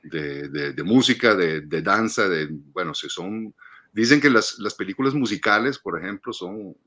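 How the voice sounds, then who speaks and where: A man in his fifties with a fairly low voice speaks in a reflective, conversational interview style. He is halting, often repeating a word or two while he gathers his thought. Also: animated, sharp tone. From Padua region, northern Italy. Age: 50s. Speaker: guest, film critic and teacher.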